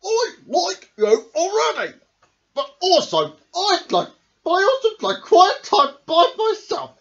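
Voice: very high voice, sing-song, fairly bright tone, voice pushed up, exaggerated voice. A storybook reader voicing a grumpy, weary bear who wants to be left alone, exasperated and drawling. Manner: exasperated, drawn-out, groaning and sighing. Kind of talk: picture book read-aloud character voice. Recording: dull lo-fi home recording.

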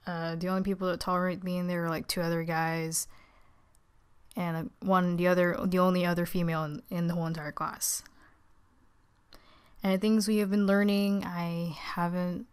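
A teenage girl with a naturally low voice, tired and subdued, giving a casual, rambling, hesitant update as a vlogger. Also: flat delivery, normal speed, clear, resonant tone. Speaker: video channel creator.

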